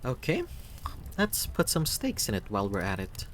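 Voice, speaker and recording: A man's voice: fairly low pitch, huge pitch swings, normal speed, slight background noise, pitched above the performer's own voice. A man voicing a chatty, inquisitive traveler in a fantasy game, with an eager, playful, questioning manner. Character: Jean, chatty inquisitive young tavern traveler.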